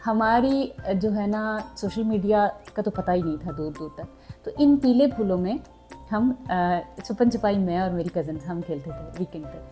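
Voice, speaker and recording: A woman's voice: mid-range voice, animated, moderate pace, dark tone, faint music behind. A young woman from southern Punjab, chatting casually to her subscribers and mixing in English words. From Pakistan, southern Punjab. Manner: chatty, mixes in English words, frequent fillers. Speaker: vlogger.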